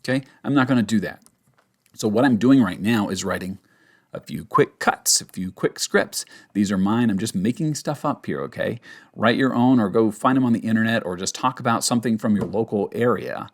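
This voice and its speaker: A man with a fairly low voice talks through a voice-acting tutorial. He is conversational and emphatic, explaining casually and showing by example as he goes. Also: a little fast. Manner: emphatic, conversational, checks in with "okay?". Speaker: voice actor giving a tutorial. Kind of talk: casual instructional talk with demonstrations.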